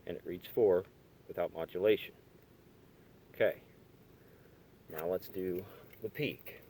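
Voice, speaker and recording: A man giving a casual hands-on demonstration in a halting, tentative way, recorded on a handheld camera inside a car. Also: low voice, warm tone, slight background noise. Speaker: hobbyist demonstrating radio gear. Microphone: handheld camera or phone.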